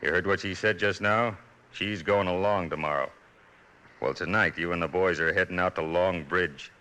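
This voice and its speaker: A man's voice: sits low, moderate pace, bright, forceful tone, smooth. A man playing a sly, calculating outlaw boss with an American Western drawl in a radio western. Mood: scheming. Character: sly, scheming male western villain boss. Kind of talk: 1950s radio western acting.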